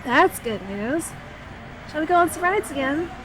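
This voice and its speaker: A woman playing a sweet, bashful young woman talking to her best friend in an ASMR roleplay, expressive and a little hesitant. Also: high-pitched, moderate pace, noisy background. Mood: content. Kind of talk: ASMR roleplay audio drama.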